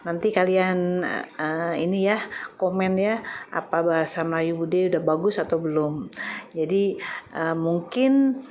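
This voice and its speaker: A middle-aged woman from Indonesia with a Javanese accent, chatting casually to her viewers and pausing often with "eh". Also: on the low side, a little mellow. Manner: hesitant, many filler "eh" pauses. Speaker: vlogger.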